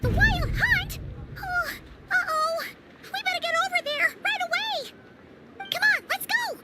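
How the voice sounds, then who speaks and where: A woman voicing a small, excitable, childlike fairy companion in a video game, chatty and squeaky. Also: measured pace, over loud music. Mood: alarmed. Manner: exclamatory, chatty. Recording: very noisy game stream capture.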